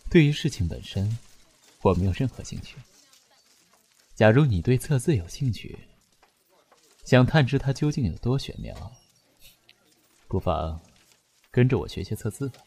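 A man acting as a calm, shrewd, enigmatic fortune teller in a radio suspense drama, speaking in measured, cryptic phrases. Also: mid-range voice, vocal fry, husky, heavily acted. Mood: detached, knowing. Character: calm, enigmatic, shrewd male fortune teller who reads written characters.

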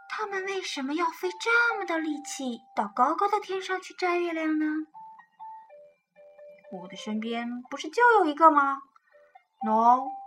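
A woman hosting a children's program, telling a picture-book bedtime story expressively and coaxing young listeners along. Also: high voice. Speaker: children's storytelling show host. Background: music.

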